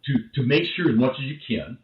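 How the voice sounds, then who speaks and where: A man's voice: medium pitch, very animated, fast talker. A man from the northern Midwest with a Midwestern accent, a show guest talking casually, often stopping and repeating words. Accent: Midwestern American. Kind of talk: casual conversation. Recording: dull roomy call audio.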